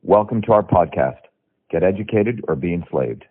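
A man's voice: deep voice, animated, moderate pace, breathy edge. A man delivers a scripted welcome as a podcast host in a pre-recorded intro with a tinny, phone-like sound.

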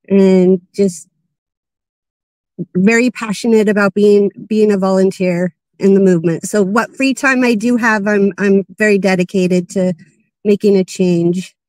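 Woman, 50s, from Idaho, earnest, unhurried delivery, dry sound. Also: mid-range voice, darkish tone, no echo, poor audio. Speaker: panel guest. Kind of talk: casual self-introduction. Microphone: video call.

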